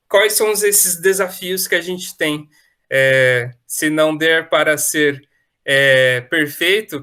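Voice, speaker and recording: A man's voice: on the high side, sharp tone. A young Brazilian man moderating an online talk, moving between informal hosting and reading verse aloud. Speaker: moderator, youth ministry coordinator. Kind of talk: informal hosting and poem recitation.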